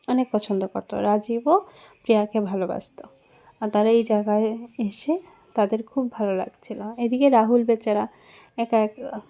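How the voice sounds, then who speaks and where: A woman with a soft-edged, mellow tone and a slightly high pitch. She narrates a children's cartoon tale in a storytelling style.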